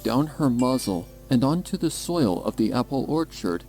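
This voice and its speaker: A man's voice: mid-range voice, very animated, moderate pace, a little mellow, faint music behind. A man reading scripted story narration in a dramatic style as a fan fiction narrator.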